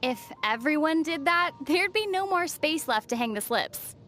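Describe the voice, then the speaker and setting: An actress playing a calm, sensible, gently teasing young traveler heroine in a video game. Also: female voice, high voice, moderate pace, soft background music. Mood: skeptical. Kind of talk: scripted video game dialogue.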